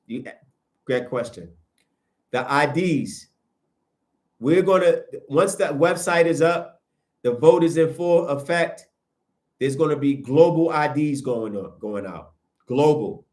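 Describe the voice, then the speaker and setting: A man hosting a livestream, preaching in a casual, animated way and answering viewer comments as he goes, with a sniffly nose. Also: on the high side. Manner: animated, preachy, rises sharply when emphatic. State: sniffling from sinuses. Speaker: livestream host.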